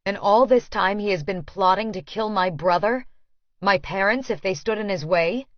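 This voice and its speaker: A woman reading first-person fiction as an audiobook narrator, with a measured, dramatic delivery. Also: medium pitch, dry recording, muffled recording.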